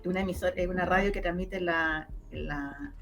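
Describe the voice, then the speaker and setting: A woman's voice: on the low side, fairly even intonation, brisk, a bit bright, faint music behind. A middle-aged Chilean woman speaking informally as an interviewee, often searching for words and repeating her phrases as she explains her research. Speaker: interviewee, researcher and former radio journalist.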